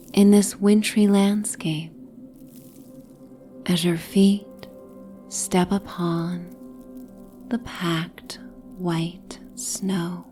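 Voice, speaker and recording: A woman with a soft, breathy, near-whispered voice gently narrating a soothing guided sleep meditation and bedtime story. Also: medium pitch. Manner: gentle, soothing, hypnotic, with long pauses.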